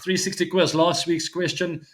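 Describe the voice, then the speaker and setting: A man's voice: on the high side, level tone, moderate pace, a bit bright. A man in his forties whose first language is Afrikaans, hosting a cricket show in a casual, chatty solo talk to viewers, with frequent ums and easy laughs. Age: 40s. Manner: chatty, many ums and uhs, laughs easily.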